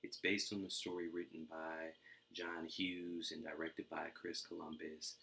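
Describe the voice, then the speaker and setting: A man's voice: rumbling low, level tone, a little slow, gravelly, bright, forceful tone. A man reading a storybook aloud expressively, in an echoey room. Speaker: storybook reader. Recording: roomy, echoey indoor sound.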